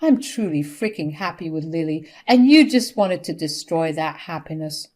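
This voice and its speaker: A woman's voice: low-pitched, lively intonation, moderate pace, darkish tone. A woman voicing a fed-up adult son who speaks firmly and coldly. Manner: firm, cold.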